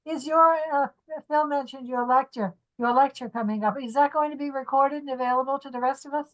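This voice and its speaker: Soft-voiced, chatty woman on a call. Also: high voice, steady tone, a little fast, poor audio. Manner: chatty, reminiscing, light laughs. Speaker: meeting participant. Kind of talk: casual chat on a video call. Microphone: video call.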